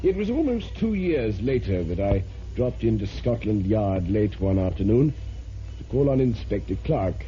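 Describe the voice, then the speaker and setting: An actor playing an urbane, wry crime reporter in a vintage radio drama. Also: male voice, on the low side, sing-song, moderate pace, warm tone, soft background music. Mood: measured storytelling. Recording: muffled vintage radio recording.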